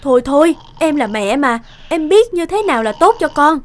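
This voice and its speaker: A pushy, high-voiced cartoon mother. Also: very animated. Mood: insistent. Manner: insistent, brisk. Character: doting, pushy, stubborn mother of a prodigy. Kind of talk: Vietnamese cartoon dubbing.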